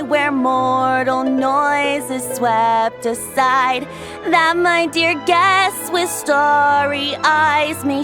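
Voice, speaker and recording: A woman voicing a playful young male bard god in a game, cheerful and teasing with frequent laughs. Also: high voice. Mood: lilting verse. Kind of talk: game voice acting. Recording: game audio with music behind.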